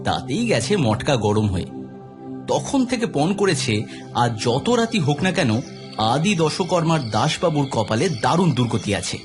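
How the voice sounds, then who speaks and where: A man narrating a scripted audio story in an expressive, suspenseful, dramatic storytelling style. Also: medium pitch. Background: clear background music.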